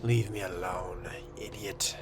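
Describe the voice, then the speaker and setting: A man voicing an aloof, curt fledgling vampire in a measured, dry manner for a video game role-play. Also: sits low, steady tone, faint music behind.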